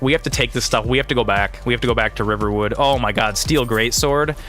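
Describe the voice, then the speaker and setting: A man's voice: medium pitch, quick pace, a bit bright, faint music behind. A man in his thirties giving casual, rambling gameplay commentary in slangy, exclaiming speech. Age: 30s. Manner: rambling, slangy, often says "dude". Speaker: gaming video creator and streamer.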